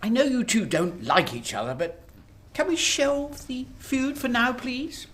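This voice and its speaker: A woman acting an embattled, weary Prime Minister in a radio drama, expressive. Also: on the high side, unhurried. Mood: firm.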